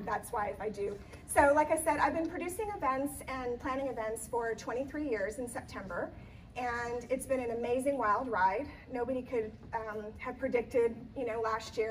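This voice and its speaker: A woman event planner, slightly hoarse, giving a chatty live talk in a conference room with many ums and restarts. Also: on the high side, recorded from a distance, slight background noise, rough recording. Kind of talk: live presentation to an audience.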